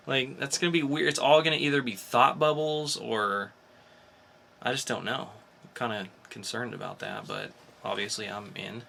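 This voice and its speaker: A man's voice: medium pitch, steady tone, normal speed, vocal fry, bright, forceful tone, a little hiss or hum. A man co-hosting a podcast, chatting casually and enthusiastically about entertainment news in an informal fan's way. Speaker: podcast co-host.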